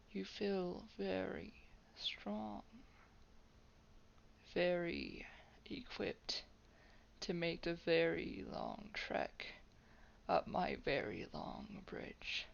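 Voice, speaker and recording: A woman voicing a haughty, menacing old vampire in a roleplay audio drama, breathy and gravelly, drawing out words with soft condescension. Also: fairly low pitch, sing-song, heavily acted. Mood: haughty, musing. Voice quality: breathy whisper with gravelly creak. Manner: slow, drawn-out, condescending, softly threatening. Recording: dull, lo-fi home microphone.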